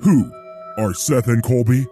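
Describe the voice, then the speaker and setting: A man's voice: mid-range voice, lively intonation, unhurried. A man doing an over-the-top, mock-dramatic announcer read for a show intro. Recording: voice over loud electronic music. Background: electronic music.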